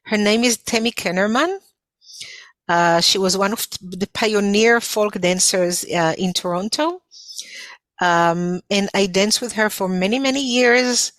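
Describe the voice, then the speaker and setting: A woman's voice: medium pitch, a bit bright, no echo. Israeli-accented woman, dry close sound. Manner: earnest, reflective storytelling. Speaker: folk dance instructor, guest. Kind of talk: casual interview.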